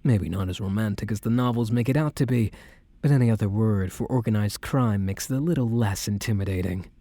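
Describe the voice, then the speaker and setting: A man playing a protective young mafia boss boyfriend in an ASMR roleplay, speaking gently and intimately in a measured, guarded way. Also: on the low side, no room sound. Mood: matter-of-fact, careful. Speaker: roleplay voice performer.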